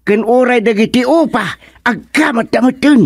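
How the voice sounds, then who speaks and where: A high-pitched, blustering farm uncle, played by a man in a comedic radio serial. He exclaims and repeats himself in a fussy, older man's way, in a very dry recording. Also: moderate pace, vocal fry, no room sound, full character voice. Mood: excited. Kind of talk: comedic radio drama acting.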